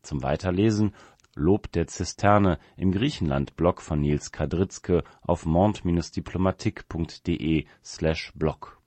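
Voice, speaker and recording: A man's voice: low voice, slow, a little mellow, no room sound. A man reading a scripted essay aloud as a presenter. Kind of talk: scripted commentary read aloud.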